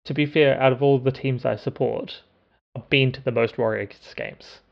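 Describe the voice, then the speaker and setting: Podcast co-host, New man, gravelly voice, hesitant rambling chat, muffled lo-fi audio. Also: mid-range voice, steady tone, moderate pace. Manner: hesitant, rambling, with many ums and restarts. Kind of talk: casual chat.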